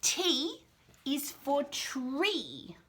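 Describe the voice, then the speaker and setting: Animated woman teaching little children. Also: sits high, drawn-out words. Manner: encouraging, sing-song, exaggerated enunciation for small children. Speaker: children's storyteller and host. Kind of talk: read-aloud picture book lesson for toddlers, chatty asides.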